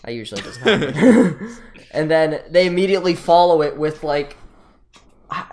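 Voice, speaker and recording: A man's voice: fairly high pitch, lively intonation, normal speed, a little mellow. A young man co-hosting an anime podcast, chatting casually with hesitant, repetitive phrasing. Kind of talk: casual chat about anime.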